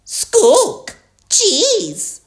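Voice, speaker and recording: A woman voicing a squawky, copycat pet parrot in a children's storybook, repeating short words in shrill squawks. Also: huge pitch swings, drawn-out words, echoey room, full character voice. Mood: squawking, excited.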